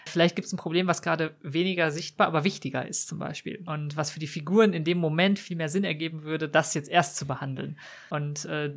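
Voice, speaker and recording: A man's voice: a little high, a bit bright. A man speaking as a podcast guest and co-author, explaining casually in an analytical way.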